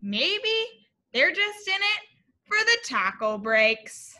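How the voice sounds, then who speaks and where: A young woman reading a picture book to children as a teacher, in an expressive, exaggerated way, often addressing her young listeners with questions. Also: very high-pitched, slow. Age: young adult. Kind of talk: animated children's story read-aloud. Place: classroom, indoors.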